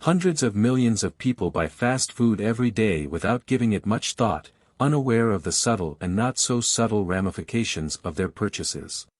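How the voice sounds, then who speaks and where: A man's voice: lowish voice, lively intonation, normal speed, no room sound, studio quality. A man narrating a scripted passage for read-aloud practice. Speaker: narrator of exam reading practice.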